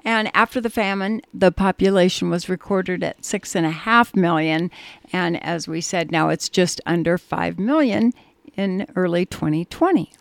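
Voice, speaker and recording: A woman's voice: lowish voice, moderate pace, gravelly, no echo. A woman co-hosting a radio show, chatting and reading ads in an upbeat way with bursts of emphasis. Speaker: radio co-host.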